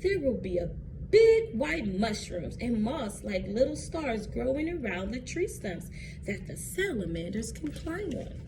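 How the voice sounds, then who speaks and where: An eager little storybook boy who loves nature, read by a woman, speaks earnestly and slowly, with very varied pitch. Noise sits clearly behind the voice. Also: very animated. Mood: earnest, planning. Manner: earnest, deliberate storybook reading. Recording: clearly noisy background.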